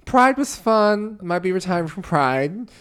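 A man's voice: high voice, lively intonation, unhurried, fairly bright tone, smooth. Campy, chatty man from Tonga. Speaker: podcast co-host. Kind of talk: casual podcast chat.

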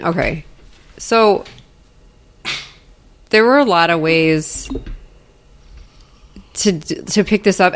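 A woman co-hosting a casual movie podcast, chatty and giggly, often trailing off and starting over. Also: lowish voice. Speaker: podcast co-host.

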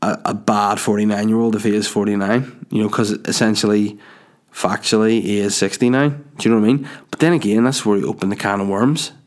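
A man's voice: fairly low pitch, steady tone, fairly quick. A man giving rambling, crude, joking commentary as a host. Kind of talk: casual commentary.

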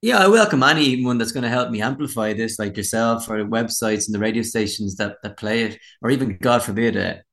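A man's voice: mid-range voice, fairly quick, gravelly, few pauses, fairly bright tone. An Irish man speaking as a musician in a casual interview, candid and reflective. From Ireland.

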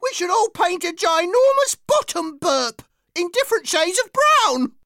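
A gleeful, cheeky schoolchild from a children's audiobook. The voice is extremely high and bright, swooping up and down as it calls out mischief. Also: female voice, big pitch swings, measured pace, dry recording, clean studio sound, exaggerated voice. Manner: cheeky, shouted. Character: naughty, gleeful schoolchild heckling from the crowd.

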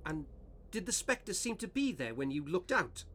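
An actor playing a practical, sceptical gentleman in a radio ghost story, questioning in a matter-of-fact, doubting way. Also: high voice, very animated, normal speed, a little hiss or hum, exaggerated voice. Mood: probing. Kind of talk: radio play acting of a Victorian ghost story.

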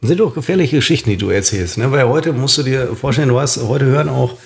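A man's voice: medium pitch, fast talker, creaky. A man co-hosting a comedic chat, rambling with many fillers, a bit drunk, working himself up into a rant. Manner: ranting, hesitant with many fillers, gets worked up. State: tipsy. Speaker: podcast co-host.

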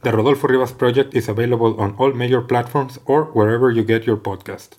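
A man's voice: medium pitch, steady tone, fast, darkish tone. A man hosting his own podcast, reading a scripted introduction with an upbeat, promotional delivery. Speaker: podcast host. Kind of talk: scripted podcast intro, then casual interview. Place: office meeting room.

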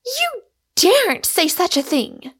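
A woman voicing an indignant, forceful young heiress in audiobook dialogue, with emphatic, scornful outbursts. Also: very high-pitched, wildly swooping pitch, drawn-out words, voice pushed up, full character voice. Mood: indignant rage.

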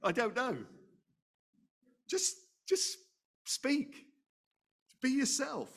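A man preaching a sermon, loud and animated, chatting with asides and rhetorical questions. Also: very high-pitched, very slow, vocal fry, breathy edge.

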